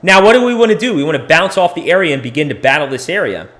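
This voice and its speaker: A man losing his voice, clearing his throat often, casually thinking aloud while he walks through crypto price charts as the host of a live chart stream. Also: a little high, expressive, racing, a bit bright. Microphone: desk microphone.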